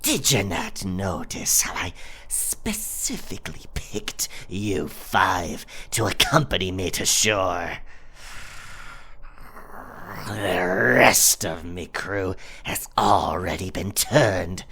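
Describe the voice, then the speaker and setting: Casting: werecat pirate captain for scary ASMR roleplay. Sly, dominant, predatory; stage pirate accent, gravelly edge, dramatic swoops in pitch, very drawn-out delivery. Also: male voice, big pitch swings, sharp tone, a little hiss or hum, heavily acted. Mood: gloating, predatory. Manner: slow, theatrical, breathy whispering that swells into growled taunts.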